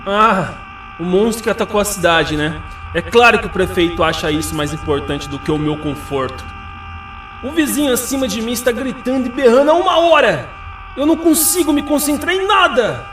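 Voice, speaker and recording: An irritable, self-important game character, a whiny neighbour with a high voice that swoops theatrically. It sounds echoey, with music behind. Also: male voice, recorded from a distance. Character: fussy, irritable male neighbour griping about noise. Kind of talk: video game character acting.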